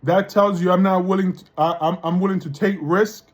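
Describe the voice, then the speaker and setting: A man's voice: sits high, fairly even intonation, brisk. A man from the Dominican Republic giving a casual spoken monologue, often tagging his points with "right?" and restarting sentences. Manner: conversational, often tags lines with "right?" and restarts. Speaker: vlogger.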